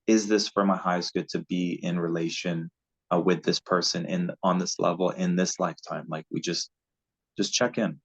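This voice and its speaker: A man's voice: sits low, little pitch movement, normal speed, breathy edge. A man, a relationship coach, giving spiritual advice in an earnest, loose and rambling way over a video call. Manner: earnest, loose and rambling, laughs at own slips. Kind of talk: casual spiritual advice talk. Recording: dull lo-fi audio.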